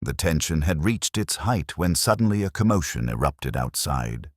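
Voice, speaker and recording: Extremely deep-voiced male story narrator. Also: big pitch swings, normal speed, no echo, clean studio sound. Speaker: audiobook narrator. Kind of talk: dramatic scripted mystery narration.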